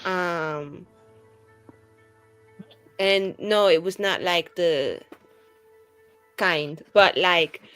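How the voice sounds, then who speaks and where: A woman's voice: on the low side, drawn-out words, pauses often, fairly bright tone, clear, resonant tone, soft background music. A woman playing a tabletop roleplaying game, improvising casual conversation as her character.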